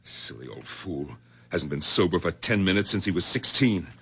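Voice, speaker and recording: An actor playing a bitter country doctor in a 1970s radio drama, by turns exasperated and elated. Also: male voice, sits low, normal speed, vocal fry, husky, full character voice. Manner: exasperated, then overjoyed and excitable. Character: bitter middle-aged male country doctor.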